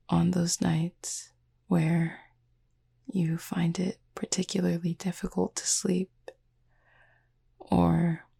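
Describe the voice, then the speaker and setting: A calm cupid roommate performed by a woman murmurs in a soft, mellow half-whisper full of heavy creak. The delivery is slow and formal, proud yet caring and gently teasing, made for a roleplay audio that lulls a listener to sleep. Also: fairly low pitch, full character voice. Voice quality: breathy, husky half-whisper.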